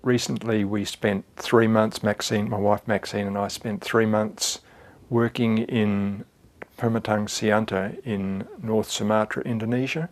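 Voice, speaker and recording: An older man speaks in a low, even, matter-of-fact way about volunteer work overseas. His pitch barely moves. Soft music sits underneath. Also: a little slow. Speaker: church volunteer. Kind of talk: interview testimony.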